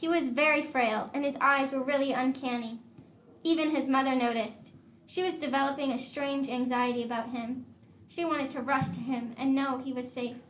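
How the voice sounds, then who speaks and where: High-voiced woman narrating a puppet show. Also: steady tone, normal speed, poor audio. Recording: live show with crowd noise.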